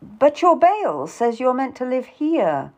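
A woman's voice: sits high, very animated, takes it slowly, voice pushed up, full character voice. Woman acting a cool, bored genteel lady, lilting. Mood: unconvinced. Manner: cool, unconvinced. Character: bored, sceptical, composed sister, a genteel lady.

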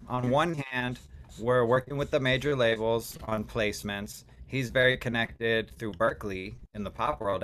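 A man's voice: mid-range voice, slight background noise. A man speaking casually and at length as a guest music producer, with slangy, talkative phrasing. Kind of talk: casual podcast chat.

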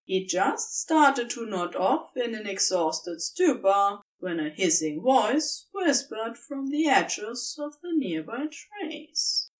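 Slow, expressive woman reading a story. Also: fairly high pitch, recorded from a distance, low-quality recording. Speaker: podfic reader. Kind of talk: scripted story narration.

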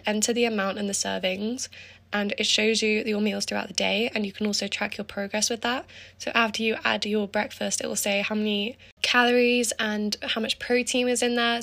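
A teenage girl with an English accent hosting a casual solo podcast, hoarse and raspy from a cold, chatty and rambling off on tangents. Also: mid-range voice, fairly bright tone. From England. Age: teens. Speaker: podcast host.

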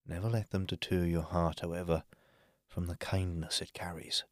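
Very deep, solemn elder-brother prince acted by a man. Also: little pitch movement, moderate pace, no echo, close to an everyday voice. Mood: tender, reassuring. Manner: solemn, reassuring. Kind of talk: amateur fantasy audio drama acting.

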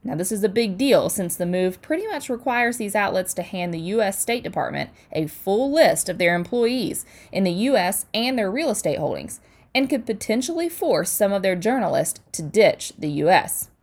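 A woman's voice: mid-range voice, sing-song, moderate pace. A woman hosting a news podcast, reading a scripted digest in a conversational, punchy way with wry asides.

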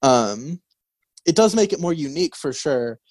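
A man's voice: fairly high pitch, sing-song, moderate pace, darkish tone, no room sound, studio quality. A young man co-hosting a college radio show, chatting casually about a record with many fillers and restarts. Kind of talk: casual music review chat. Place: radio studio. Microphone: studio mic.

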